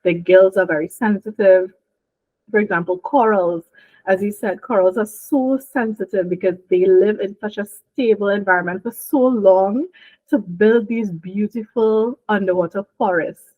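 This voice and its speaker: Young woman from Trinidad and Tobago, lively interview guest, thin phone-line sound. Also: mid-range voice, moderate pace, no room sound. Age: young adult. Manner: lively, laughing, explanatory. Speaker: marine biologist guest. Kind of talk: casual interview chat.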